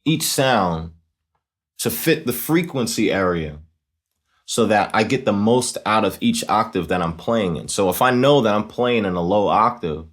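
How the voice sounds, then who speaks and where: A man with a low-pitched voice hosts a casual tutorial. He explains step by step in a conversational way, often checking that the listener follows. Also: expressive, a little slow, a bit bright. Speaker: host of a talk box tutorial series.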